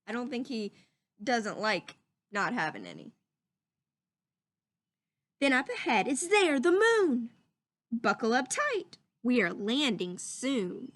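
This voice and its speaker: High-pitched, very lively woman reading to children. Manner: animated storybook delivery, drawing out words, asking questions. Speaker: teacher reading a picture book aloud to children. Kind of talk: expressive children's read-aloud with questions to listeners.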